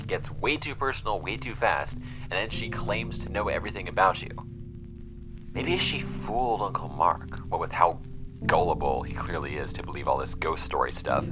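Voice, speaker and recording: An actor playing a skeptical, irritable nephew in an audio drama, rambling in a sardonic, dismissive way. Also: male voice, deep voice, sharp tone, exaggerated voice, low-quality recording. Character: skeptical, irritable nephew going through uncle's case files. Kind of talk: audio drama, conversational monologue. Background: music score.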